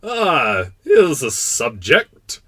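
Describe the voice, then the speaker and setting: Loud, booming, pompous storybook king. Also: huge pitch swings, measured pace, no room sound, full character voice. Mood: grand, eager. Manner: booming, declamatory, imperious. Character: proud, pompous absolute monarch, kindly but imperious old king. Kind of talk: storybook character acting.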